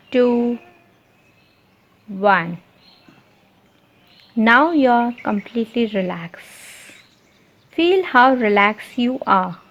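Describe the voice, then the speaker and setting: A woman leading a guided meditation, giving repetitive, soothing instructions with deliberate pauses. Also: on the high side, fairly bright tone. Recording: muffled lo-fi, noisy, birdsong behind. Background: birdsong and ambient nature sounds.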